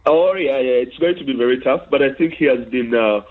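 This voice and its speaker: A Ugandan-born man calling a radio phone-in, heard through a tinny telephone line. His voice is husky and mid-pitched, and he argues earnestly, padding his points with fillers. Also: brisk. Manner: earnest, argumentative, many fillers. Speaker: caller. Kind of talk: phone-in call.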